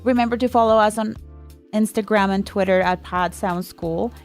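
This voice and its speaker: A woman's voice: mid-range voice, moderate pace. Female podcast co-host with music behind. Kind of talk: casual podcast recommendations and announcements.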